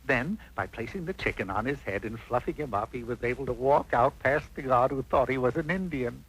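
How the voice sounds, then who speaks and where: A man's voice: medium pitch, a little fast, a bit bright, slightly rough, rough recording. A man playing an arch, theatrical storybook narrator, reading a comic fairy tale on an old cartoon soundtrack. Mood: wry, brisk. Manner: arch, dramatic.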